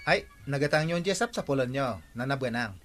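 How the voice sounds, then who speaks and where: An actor playing a chatty, nosy tricycle driver in a radio comedy drama, with an Ilocano accent. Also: male voice, medium pitch, lively intonation, a little fast, a bit bright, soft background music, natural voice.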